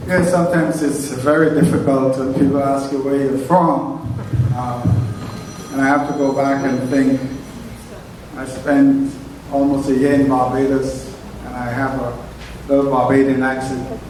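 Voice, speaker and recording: A man's voice: mid-range voice, level tone, a little slow, a little mellow. A man acting as master of ceremonies, introducing speakers effusively and urging applause. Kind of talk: event emcee introductions. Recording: echoey hall from far off, crowd noise.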